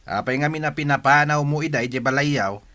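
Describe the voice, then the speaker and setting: Sharp, forceful village man. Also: fairly high pitch, a little fast, no echo. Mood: reproachful. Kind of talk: Ilocano radio drama acting.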